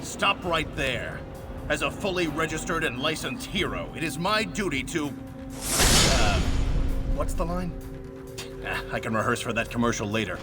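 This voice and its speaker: Pompous show-off superhero, animated. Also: male voice, on the high side, a little slow, pauses often, far from the mic, loud music behind the voice. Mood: grandiose. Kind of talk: game cutscene acting.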